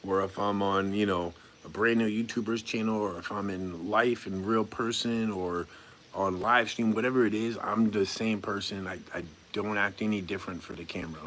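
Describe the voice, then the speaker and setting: A man's voice: lowish voice, fairly even intonation, moderate pace, a bit bright, a little hiss or hum. A man in his forties chatting casually on a live stream, reading viewer comments aloud and answering them in a laid-back, rambling, goofy way with a chuckle now and then. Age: 40s.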